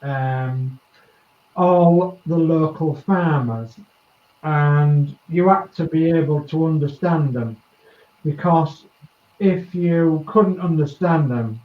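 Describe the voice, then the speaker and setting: Slow-talking Yorkshire man. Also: on the high side, roomy sound, phone-quality sound. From East Yorkshire, England. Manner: rambling, chuckles between thoughts. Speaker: host of a model-building chat. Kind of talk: casual group chat.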